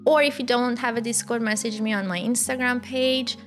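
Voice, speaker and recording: A woman's voice: fairly high pitch, fairly even intonation, normal speed. A woman with a Persian accent, a gaming creator answering viewer questions in a chatty, hesitant way with many fillers and restarts. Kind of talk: casual Q&A to camera.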